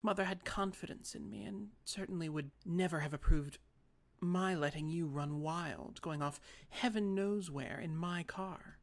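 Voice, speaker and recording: Narrator doing a prim disapproving woman, raised pitch, gravelly edge, measured. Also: close to an everyday voice. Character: prim, self-righteous middle-aged sister. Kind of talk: audiobook character voice.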